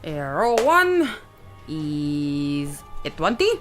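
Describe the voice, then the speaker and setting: A woman chatting casually as a tabletop game player, playful and quick to exclaim. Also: low voice, sing-song, a bit bright, soft background music.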